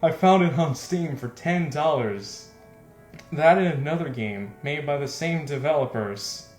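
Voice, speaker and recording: Man, game streamer, unhurried pace, casual commentary, self-mocking exasperation, roomy echo. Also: on the high side, lively intonation, a little mellow. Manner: self-deprecating, laughs often, exasperated. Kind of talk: casual let's play commentary. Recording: roomy echo, faint music behind.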